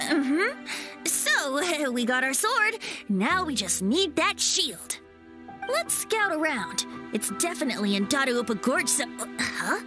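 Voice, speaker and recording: A woman's voice: high-pitched, big pitch swings, slow pace, music in the background, full character voice. A woman voicing a playful young male bard in a video game, theatrical and poetic, with flowery phrasing. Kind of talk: English video game voice acting.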